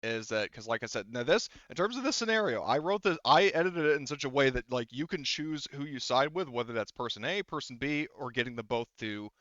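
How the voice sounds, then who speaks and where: A man's voice: medium pitch, expressive, a little fast, hardly any pauses, fairly bright tone, dry recording, lo-fi sound. A man running a tabletop game as game master, narrating casually in a rambling, profane, jokey way.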